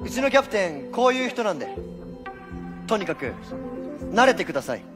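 An actor playing a polite, placating teenage vice-captain in a theatrical stage style. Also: male voice, high voice, big pitch swings, a little fast. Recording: stage performance with faint music.

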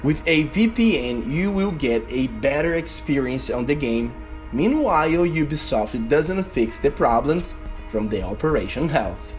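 A young man from Brazil with a Brazilian Portuguese accent, narrating a game tutorial in an earnest, slightly halting way. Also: medium pitch, expressive, faint music behind. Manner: earnest, slightly halting non-native delivery. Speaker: gaming video creator.

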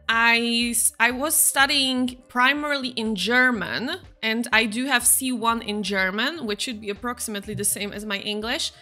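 A bright, rather high-voiced young woman with a Slovak accent, talking casually to her viewers over a game. She chats on, answering chat messages one after another, with soft game music playing faintly behind her. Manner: chatty, reads chat messages aloud and answers them. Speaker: streamer. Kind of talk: casual chat while gaming.

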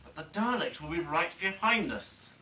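A man's voice: a little high, sing-song, normal speed, sharp tone, reverberant, slight background noise, full character voice, rough recording. A man playing a determined, defiant escape leader in a sci-fi audio drama, terse and urgent. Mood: anxious.

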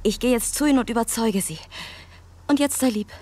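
A woman acts a young lover in a radio play, intense and wounded. Her voice is fairly high and breathy, intimate yet insistent and manipulative. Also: brisk, dark tone, lightly acted. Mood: insistent, coaxing. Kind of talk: German radio drama acting.

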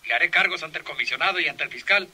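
An actor with a Mexican accent playing an indignant, blustering police captain in an old radio serial. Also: male voice, a little high, racing, background noise, natural voice.

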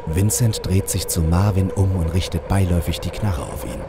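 A man's voice: low-pitched, normal speed, dark tone, a little hiss or hum. German-speaking male author, scripted narration. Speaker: author reading own satirical audio play.

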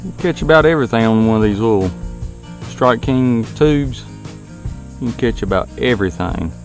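A man in his thirties with a Southern US accent, talking casually about fishing. He has a relaxed, folksy manner, chatting to the fish as he reels them in, and later recaps his day from inside a truck. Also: mid-range voice, moderate pace, music in the background. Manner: relaxed, folksy, talks to fish while reeling. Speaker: fishing vlogger. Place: kayak on a river outdoors, then inside a pickup truck. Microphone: action camera mounted on kayak, then dashboard camera.

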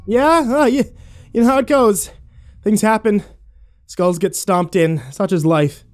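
A man's voice: high-pitched, unhurried, faint music behind. A man playing a sarcastic, battered young man who narrates his own horror audio drama with wry, sweary self-deprecation.

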